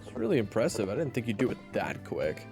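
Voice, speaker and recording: A man acts as a sarcastic adventuring leader in a fantasy audio play. He sounds casual and incredulous, with a lively, swinging pitch over quiet music. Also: fast talker, creaky, exaggerated voice. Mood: casual, pleading. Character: exasperated, sarcastic male adventurer leading a party. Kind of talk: fantasy audio roleplay acting.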